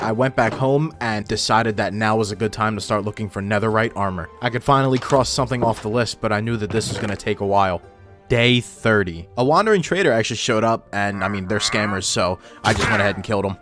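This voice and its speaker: A man narrating gameplay in a casual, informal way with wry asides, his voice run through a voice filter. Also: medium pitch. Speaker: gaming video host. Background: background music.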